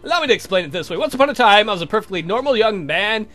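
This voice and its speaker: Visual novel vampire, played by a man: haughty, formal, condescending lecturer, high register, very animated delivery. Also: very fast, soft background music.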